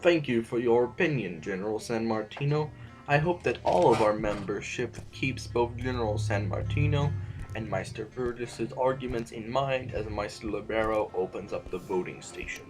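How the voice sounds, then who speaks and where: A man's voice: medium pitch, close to an everyday voice, rough recording. Man acting a stern, formal sovereign over music. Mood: formal, composed. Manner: formal, measured oratory. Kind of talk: audio drama acting.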